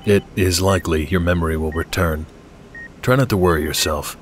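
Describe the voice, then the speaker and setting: A male narrator plays a middle-aged doctor, calm and reassuring at the bedside. The voice is deep, with faint noise behind it, as in an audiobook. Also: fairly quick, close to an everyday voice.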